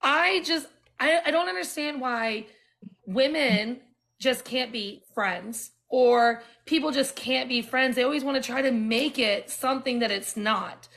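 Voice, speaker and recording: A woman's voice: high-pitched, a little slow, fairly bright tone. A woman hosting a casual interview, chatty and sympathetic toward her guest. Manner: sympathetic, chatty, laughs along. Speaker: podcast host.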